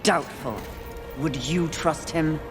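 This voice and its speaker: A woman's voice: low-pitched, slow. A woman voicing a proud, wrathful female warrior of the apocalypse in a video game, speaking in a curt, imperious, disdainful way. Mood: dry contempt. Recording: music behind.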